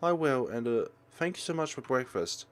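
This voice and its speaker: A man's voice: medium pitch, expressive, normal speed, lightly acted. A man voicing an anxious, reserved teenage student in a visual novel, polite and hesitant. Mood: subdued.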